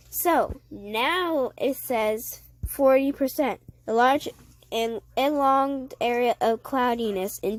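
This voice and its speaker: Sniffly woman vlogger, slightly high voice, slow halting read of forecast text. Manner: halting reading with stumbles and self-corrections. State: sniffling with a cold. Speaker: weather video host. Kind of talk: casual weather update, reading forecast text aloud.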